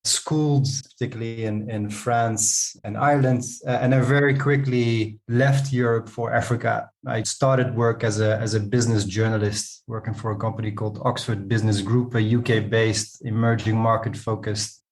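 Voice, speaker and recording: A man giving conversational interview answers, often pausing and repeating words as he goes. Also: fairly low pitch, steady tone. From Netherlands. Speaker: guest, company co-founder and CEO.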